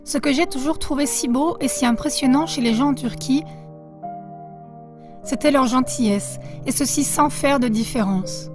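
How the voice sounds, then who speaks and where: A woman journalist giving a calm, reflective statement, read as a voice-over. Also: sits high, moderate pace, music in the background.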